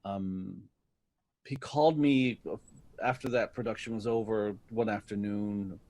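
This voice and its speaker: A middle-aged man talking casually as an actor being interviewed, telling stories and often pausing to search for words. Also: fairly low pitch, fairly even intonation, darkish tone.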